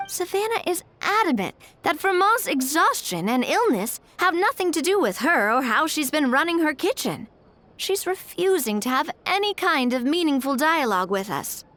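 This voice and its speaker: A woman's voice: sits high, fairly bright tone, a little hiss or hum. An actress playing an earnest, principled young woman in a video game, with a frank, conversational manner.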